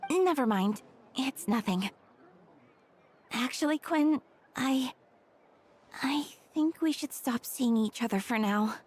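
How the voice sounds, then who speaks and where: A woman voicing a gentle, troubled young woman in a video game, withdrawn and hesitant, with a sighing delivery. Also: high-pitched, animated, slow pace, long pauses, full character voice. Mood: hesitant, sad. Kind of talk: video game character acting.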